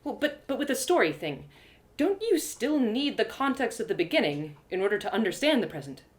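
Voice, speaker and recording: A woman's voice: fairly high pitch, sing-song, fairly quick. A woman playing an earnest, curious young human girl adventurer, hesitant and questioning, in an audio drama. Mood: puzzled.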